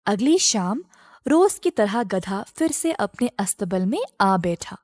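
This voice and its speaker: A woman's voice: medium pitch, sing-song, slow pace, dry recording, studio quality. A woman narrating a scripted moral story for children as a storyteller.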